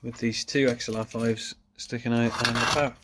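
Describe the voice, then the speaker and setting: A man's voice: medium pitch, little pitch movement, normal speed, background noise. A man talking casually and off the cuff as he presents a hands-on DIY demonstration, informal and self-deprecating. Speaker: car hobbyist presenting a DIY video.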